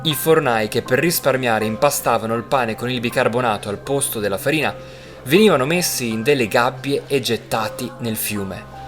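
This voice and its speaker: A man presenting a travel documentary, speaking in a measured way with some hesitations. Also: medium pitch. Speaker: travel reporter. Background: music.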